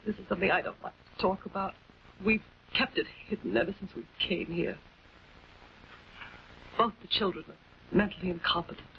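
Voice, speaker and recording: A woman's voice: mid-range voice, fairly even intonation, normal speed, gravelly, long pauses, close to an everyday voice. A woman playing a distraught, guarded wife and guardian in a late-1940s television drama, speaking emphatically and repeating herself. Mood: distraught, guilty. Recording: dull, noisy 1940s film soundtrack.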